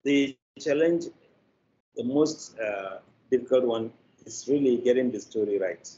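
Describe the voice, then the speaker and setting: An older man with a Nigerian accent explaining his work as an interview guest, in deliberate, halting phrases. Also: mid-range voice, mellow, slight background noise. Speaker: media producer, interview guest. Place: indoors, room. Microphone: video call. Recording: dull lo-fi video-call audio.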